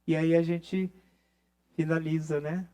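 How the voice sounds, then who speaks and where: A Brazilian man with a mellow voice that sits a little high for a male. He talks informally to a group as their host, in a reflective way, often adding small tags to check that people follow. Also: flat delivery, slow pace, smooth. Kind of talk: informal talk to an audience.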